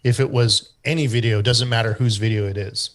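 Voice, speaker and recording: A Canadian man explaining website search topics to an online group in a relaxed way, with many fillers and restarts. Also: medium pitch, fairly quick. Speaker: guest expert on website SEO.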